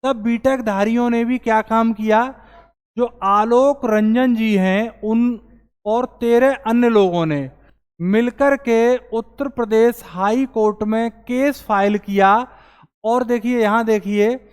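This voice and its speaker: A man teaching as an exam coaching teacher, explaining recruitment news to students in an earnest, explanatory way and slowing down to stress his points. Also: very high voice, fairly even intonation, a little mellow, clear, resonant tone, long reverb tail.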